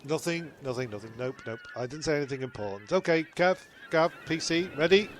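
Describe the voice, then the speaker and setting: A man's voice: on the high side, lively intonation, a little fast. Stammering, exasperated man in a comedy. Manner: exasperated, stammering, repeats himself. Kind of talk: scripted comedy with ad-libbed asides. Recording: faint background noise.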